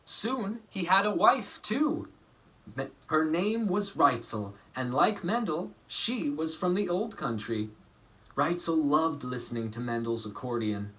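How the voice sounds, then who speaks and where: A man reading a children's story aloud in a measured, expressive way, pausing for emphasis. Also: fairly high pitch, low-quality recording. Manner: measured, dramatic phrasing with pauses for emphasis. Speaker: storybook reader.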